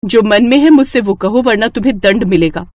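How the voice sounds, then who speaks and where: A woman's voice: medium pitch, fairly quick, darkish tone, no room sound, studio quality, natural voice. A woman voicing a vain, cruel young princess in a cartoon story, haughty and commanding. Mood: haughty, demanding. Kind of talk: Hindi cartoon moral story acting.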